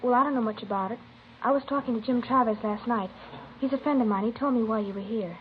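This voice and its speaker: Woman playing shy-ish guarded high school girl, highish voice, old radio drama, tinny and hissy recording. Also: fast talker, natural voice. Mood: guarded, casual. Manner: tentative, plain-spoken. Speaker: actor playing a witness. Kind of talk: 1950s radio drama acting, naturalistic.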